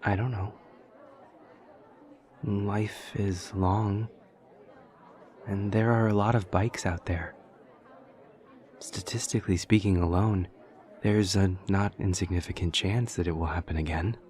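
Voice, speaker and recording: A man playing an awkward, earnest, literal-minded demon college student in an audio roleplay, speaking in a stilted, over-precise, deadpan way with hesitant pauses. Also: low-pitched, some chatter behind. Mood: earnest, puzzled. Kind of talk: intimate audio roleplay acting.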